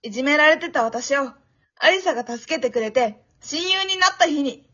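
A woman acting a bubbly, pushy, affectionate teenage girl in an amateur radio drama, with a cutesy, expressive delivery. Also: high voice, moderate pace. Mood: heartfelt. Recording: dull, lo-fi audio.

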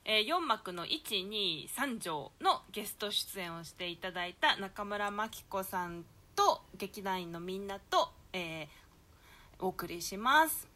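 A woman's voice: mid-range voice, lively intonation, slow pace, pushed and bright. A woman hosting a casual group chat as a podcast presenter. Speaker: host of a theatre company's talk podcast.

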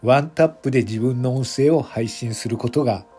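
A man reading scripted narration for an audio drama in a measured, deliberate manner, phrase by phrase. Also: mid-range voice, loud music behind the voice.

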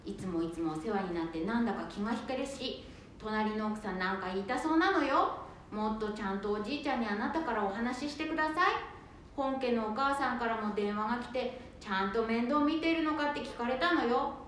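A woman's voice: on the high side, fairly quick, fairly bright tone, close to an everyday voice. A woman playing a nagging, exasperated middle-aged wife in an amateur radio drama, complaining and scolding. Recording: very echoey room, faint noise.

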